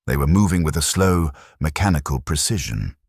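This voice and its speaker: A man's voice: very deep voice, animated, fairly quick, creaky, husky, dry recording, studio quality. A man narrating a science fiction audiobook with dramatic storytelling delivery. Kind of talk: scripted sci-fi narration.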